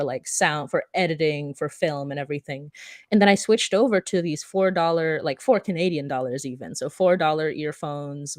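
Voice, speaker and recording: A woman's voice: low voice, no room sound, studio quality. A woman hosting a podcast discussion in a casual, chatty, anecdotal way. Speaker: podcast host and moderator. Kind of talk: casual chat.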